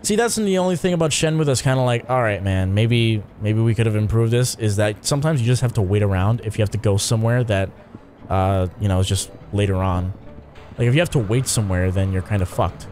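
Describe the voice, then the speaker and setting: A man's voice: lowish voice, a little fast, a little mellow, faint music behind. A tired young man giving casual, rambling commentary while streaming a video game, swearing and using many fillers.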